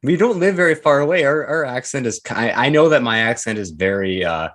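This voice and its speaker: A man's voice: mid-range voice, expressive, brisk, a bit bright. A Canadian man with a strong Canadian accent, chatting and joking casually on a live stream. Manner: chatty, jokey, swears lightly. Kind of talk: casual chat.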